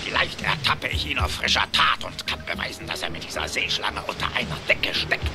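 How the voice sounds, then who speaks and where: A man's voice: fairly low pitch, big pitch swings, fairly quick, gravelly. A man playing an irascible, stubborn old rich duck in a cartoon audio play, blustering and emphatic. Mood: determined, suspicious. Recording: music behind the voice.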